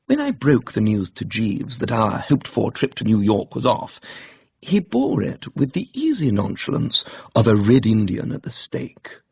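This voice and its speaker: Male narrator, posh English, husky and gravelly, droll comic novel reading. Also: lowish voice, huge pitch swings, normal speed, a little mellow, no room sound, dull audio. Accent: upper-class English. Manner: droll, blithe storytelling. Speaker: narrator, reader.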